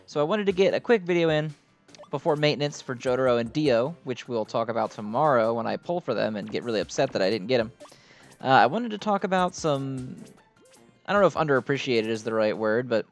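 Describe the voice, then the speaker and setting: Male gamer, medium pitch, chatty and enthusiastic fan commentary, loosely rambling, game music underneath. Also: animated, brisk. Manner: rambling, enthusiastic fan talk with mild swearing. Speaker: gamer commenting on own gameplay. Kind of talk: casual gameplay commentary.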